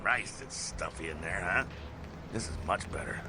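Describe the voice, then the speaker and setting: An actor playing a brash, streetwise vampire mentor in a video game, gravelly, slangy and swaggering, with a rough jovial streak. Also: male voice, deep voice, expressive, normal speed. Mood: gruff, coaxing. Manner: slangy, folksy, swaggering, swears. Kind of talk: video game character acting. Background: music.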